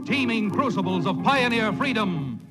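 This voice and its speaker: An actor as a grandiose 1940s radio announcer dramatically introducing a western series. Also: male voice, high voice, lively intonation, normal speed. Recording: old radio recording, loud organ music.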